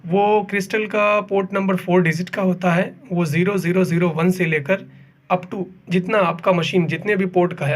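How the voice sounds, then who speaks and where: A man presenting a hands-on technical tutorial as a technician, explaining step by step, repeating points and mixing English technical terms into his speech. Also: high voice.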